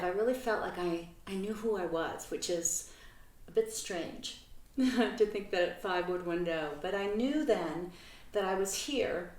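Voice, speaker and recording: An older woman from Belgium telling her life story as an interview guest at home, earnest and reflective, with pauses and fillers. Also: on the low side. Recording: roomy sound from a distant mic.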